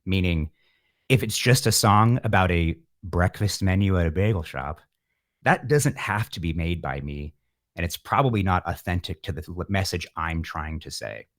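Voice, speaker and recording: A man in middle age with a low-pitched voice, speaking as a musician being interviewed. He reflects philosophically and thinks aloud, with a wry streak to his delivery. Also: animated, moderate pace. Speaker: musician, podcast guest.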